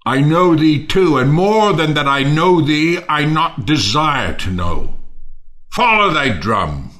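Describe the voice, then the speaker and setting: Scornful misanthrope in a shakespeare audio play, bright forceful voice, really slow ranting, declamatory. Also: male voice, mid-range voice. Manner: declamatory, scornful, drawn-out phrasing with sudden swoops. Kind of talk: theatrical Shakespearean audio drama.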